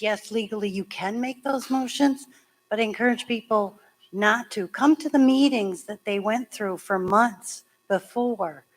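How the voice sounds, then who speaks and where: A woman town clerk with a hoarse voice, chattily explaining voting procedure step by step at a public meeting. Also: mid-range voice, rough recording. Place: school meeting room.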